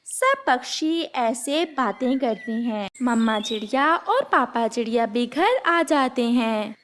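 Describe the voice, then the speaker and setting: A woman narrator tells a scripted children's story. Her voice is very high, with animated intonation and an unhurried pace. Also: a little hiss or hum.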